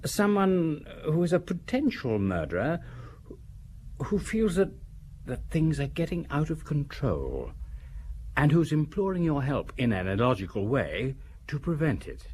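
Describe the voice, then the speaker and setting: An actor playing a thoughtful would-be playwright in a radio drama, measured and speculative. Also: male voice, fairly high pitch, sing-song, darkish tone, a little hiss or hum.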